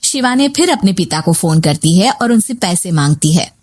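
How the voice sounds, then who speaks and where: A woman's voice: fairly low pitch, big pitch swings, normal speed, a little mellow. A woman reading scripted narration for a moral family story.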